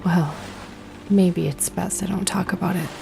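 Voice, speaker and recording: A woman playing a weary, wounded but caring agent in a sci-fi horror audio drama, with a breathy, earnest delivery. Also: on the low side, steady tone, measured pace. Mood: pained, confiding. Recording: music under the voice. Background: music.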